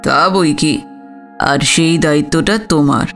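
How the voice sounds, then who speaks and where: A man's voice: on the high side, measured pace, creaky, fairly bright tone. A man playing a wealthy, witty, imperious businessman in an audio story, teasing and theatrical. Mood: flirtatious, poetic. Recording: music under the voice.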